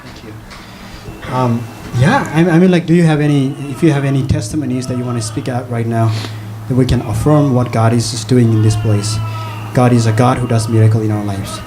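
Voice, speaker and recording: A man's voice: mid-range voice, fairly even intonation, a little fast, background noise. A man leads a church congregation in prayer and response, speaking in an earnest, encouraging and prayerful way. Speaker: worship leader. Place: church in Hong Kong.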